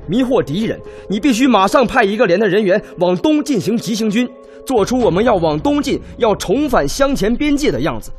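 A man playing a brisk, purposeful senior Red Army officer in a radio drama, with an emphatic, orderly delivery. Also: high-pitched. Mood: urgent, encouraging. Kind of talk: Chinese radio drama acting.

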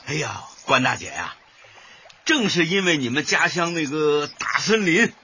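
A man's voice: fairly high pitch, big pitch swings, measured pace, bright, forceful tone, highs cut off, slight background noise, exaggerated voice. An actor playing a chatty, wordy older male neighbour in a radio comedy, with effusive, slightly bookish speech. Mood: genial, effusive. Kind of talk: radio comedy drama acting.

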